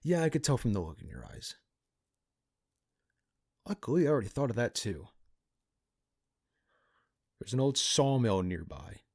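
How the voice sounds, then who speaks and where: A man playing a cynical, pragmatic partner in crime to a vigilante in an ASMR roleplay, talking in a casual, halting, conspiratorial way. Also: medium pitch, sing-song, a little mellow, husky. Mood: dry, uneasy. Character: cynical, pragmatic male criminal accomplice to a vigilante.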